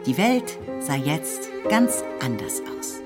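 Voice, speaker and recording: A woman narrating a radio play in a measured, lightly ironic manner. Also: deep voice, very animated. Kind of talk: scripted literary narration. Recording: narration over music.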